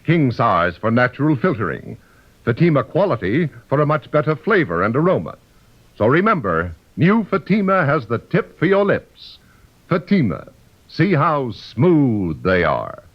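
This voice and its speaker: Very animated male radio ad announcer. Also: medium pitch, unhurried. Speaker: commercial announcer. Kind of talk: 1950s radio advertising read. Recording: muffled old radio broadcast.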